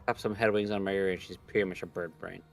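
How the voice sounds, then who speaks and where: A man's voice: low-pitched, steady tone, fast talker, vocal fry. A man co-hosting a casual visual novel stream, joking, needling and swearing freely, with music behind him. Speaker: stream co-host reading the game's lines aloud. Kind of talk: casual banter and reading aloud from a visual novel.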